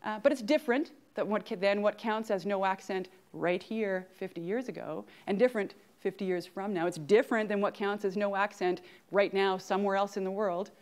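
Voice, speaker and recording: A woman's voice: medium pitch, a little fast, few pauses, darkish tone. A woman in her forties with a Canadian accent, giving an interactive lecture on an auditorium stage and often checking in with the audience. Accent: Canadian English. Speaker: guest speaker at grand rounds.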